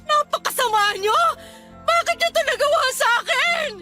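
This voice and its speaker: Shrill, furious betrayed wife. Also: female voice, animated, moderate pace, faint music behind, heavily acted. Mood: hurt, tearful rage. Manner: shouting, accusing, sarcastic. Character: furious betrayed wife and older sister, lashing out. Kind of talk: radio melodrama acting.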